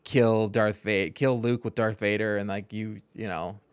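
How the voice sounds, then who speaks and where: A man's voice: fairly low pitch, fairly even intonation, a little slow, clean tone, no room sound. A man co-hosting a podcast, giving his opinions in casual, conversational chat. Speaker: podcast co-host.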